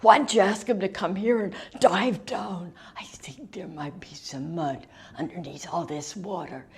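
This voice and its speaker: A woman voicing a calm, wise turtle in a folk tale, giving advice in a measured, hushed way. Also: fairly low pitch, pitched below the performer's own voice. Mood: calm advising.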